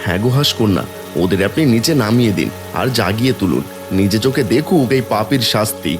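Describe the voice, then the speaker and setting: A man's voice: on the low side, expressive, fast talker, music in the background, natural voice. A man voicing an indignant village father in a cartoon fairy tale, scolding and reproachful, with emphatic delivery.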